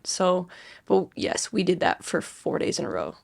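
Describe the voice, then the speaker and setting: A young woman, hoarse today, telling party stories on a podcast and acting out what people said. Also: fairly low pitch, moderate pace. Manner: animated, acts out quotes. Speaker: co-host. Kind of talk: casual podcast storytelling.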